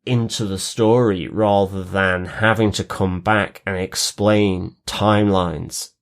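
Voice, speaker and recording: A man's voice: sits low, steady tone, slow. Man co-hosting a TV recap podcast. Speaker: podcast co-host.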